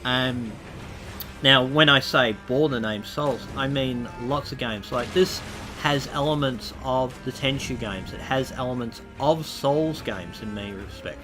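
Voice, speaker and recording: A man's voice: medium pitch, a little slow, music in the background. A man getting over a cold, sounding slightly nasal, gives a rambling, enthusiastic spoken game review. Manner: rambling and enthusiastic, with loose asides.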